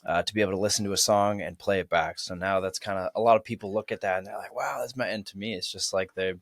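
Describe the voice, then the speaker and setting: A young man in his twenties from Maine, a guest talking about himself in a relaxed, friendly way. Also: lowish voice, quick pace, dry recording, studio quality. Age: 20s. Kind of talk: casual interview. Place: small studio. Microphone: studio mic on arm.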